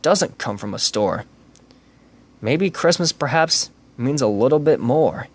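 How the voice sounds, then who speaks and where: A man reading aloud and voicing a sly, scheming, grumpy male creature who hates Christmas, in a low, hushed, oily manner. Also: animated, a little slow. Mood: wondering.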